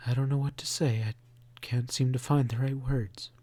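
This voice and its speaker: A man reading aloud and voicing a gentle, caring farm mother who speaks softly to comfort her son. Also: moderate pace, no room sound, close to an everyday voice. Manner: soft, soothing, low-voiced.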